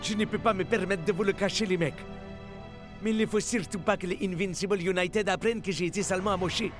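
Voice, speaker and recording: An actor playing an earnest, dramatic soccer team captain in a cartoon. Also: male voice, high voice, fairly even intonation, quick pace, natural voice. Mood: pained, confiding. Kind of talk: animated cartoon voice acting. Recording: music playing behind the voice.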